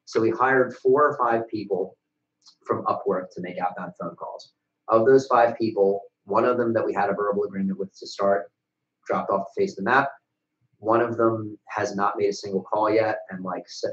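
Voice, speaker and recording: Low-voiced young American man in an echoey room. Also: steady tone, brisk, a bit bright. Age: young adult. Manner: blunt, casually profane. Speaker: webcast co-host and business owner. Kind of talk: casual business chat. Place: small bright office, indoors. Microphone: desk microphone on a stand. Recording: echoey room, dull sound.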